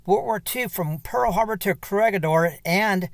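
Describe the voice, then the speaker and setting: A man's voice: sits high, normal speed, gravelly, dry recording, clean studio sound. A man presenting a radio history show as its host.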